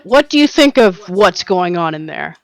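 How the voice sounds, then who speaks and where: A woman's voice: on the low side, big pitch swings, moderate pace, no echo. A woman playing a polite probing adventurer. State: losing her voice.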